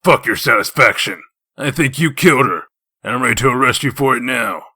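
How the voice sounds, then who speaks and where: A man voicing a gruff, foul-mouthed wolf detective in human form, blunt and sardonic, in a comic dub. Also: mid-range voice, expressive, brisk, bright, forceful tone, no echo, studio quality, exaggerated voice. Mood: angry, accusing.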